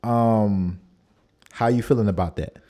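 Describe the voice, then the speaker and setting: A man in his thirties hosting a podcast interview, relaxed and affirming, with plenty of fillers. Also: on the low side, unhurried. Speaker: podcast host. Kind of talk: casual conversational interview.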